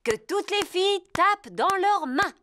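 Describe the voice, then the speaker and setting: A woman voicing a brisk, enthusiastic lady gazelle schoolteacher with theatrical, instructing delivery in a French cartoon dub. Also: very high-pitched, expressive, a bit bright, slight background noise, heavily acted.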